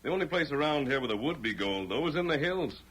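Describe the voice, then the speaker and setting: An actor playing an upright, commanding masked cowboy hero with measured, formal speech in a 1940s radio western. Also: male voice, fairly high pitch, lively intonation, a bit bright, husky. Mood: coolly explaining. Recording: noisy vintage radio recording.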